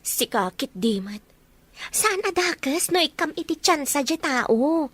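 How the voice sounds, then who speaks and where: A woman playing a lively young matchmaking best friend in an Ilocano romance drama, teasing and coaxing. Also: high-pitched, a little slow, dry recording, full character voice.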